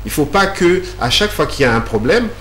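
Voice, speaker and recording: A man's voice: a little high, sing-song, fairly quick, a bit bright. A man answering interview questions at length, explaining patiently with many fillers and restarts. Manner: hesitant, many fillers and repeated words. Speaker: guest. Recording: echoey room with faint hum.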